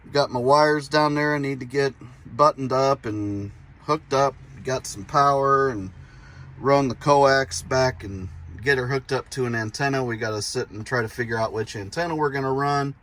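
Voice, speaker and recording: A man's voice: mid-range voice, fairly bright tone. A man hosting a do-it-yourself vlog, explaining his work casually in an informal, folksy, self-deprecating way. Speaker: DIY vlog host. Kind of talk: casual hands-on how-to narration.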